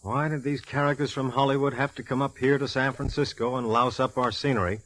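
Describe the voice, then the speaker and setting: An actor playing a sardonic, jealous police lieutenant in an old 1940s radio drama. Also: male voice, medium pitch, fairly even intonation, fairly quick, a bit bright, natural voice, low-quality recording. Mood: grumbling, jealous. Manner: sardonic, wisecracking. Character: gruff, jealous, wisecracking male police lieutenant. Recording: vintage 1940s radio recording.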